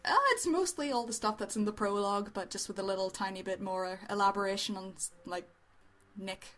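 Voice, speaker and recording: A woman's voice: mid-range voice, a little fast, fairly bright tone. A woman co-hosting an amateur review show, chatting casually and unscripted in a giggly, tentative, self-deprecating way.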